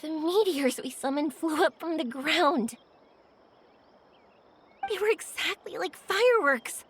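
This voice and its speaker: A woman voicing a spirited, adventurous and warm young woman in a video game, expressive and enthusiastic. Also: high voice, takes it slowly, slight background noise, exaggerated voice. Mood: dreamy delight.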